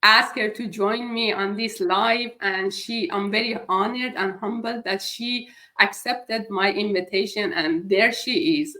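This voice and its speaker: A woman's voice: mid-range voice, fairly bright tone, rough recording. A middle-aged woman from Iran hosting a live chat, speaking hesitantly with many fillers. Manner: slow, hesitant, frequent fillers. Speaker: host.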